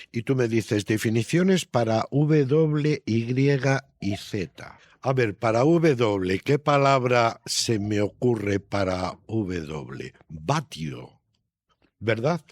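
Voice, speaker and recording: Slow-paced older man. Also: mid-range voice, no room sound. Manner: thoughtful, didactic, often seeks agreement with tag questions. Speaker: writer being interviewed. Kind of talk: unscripted interview answers.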